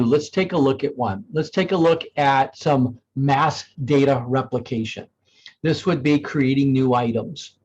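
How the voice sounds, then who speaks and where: A man presenting an informal software demo in a conversational, explanatory way, thinking aloud as he goes. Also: medium pitch, slightly rough, muffled recording. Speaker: software demonstrator.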